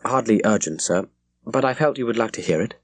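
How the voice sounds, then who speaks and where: A man performs an eager ship's officer in a seafaring audiobook. He is deferential, with a fairly low voice and dry, close sound. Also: expressive, a little fast, dry recording. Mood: respectful. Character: eager, respectful male naval first officer. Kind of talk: audiobook character voice.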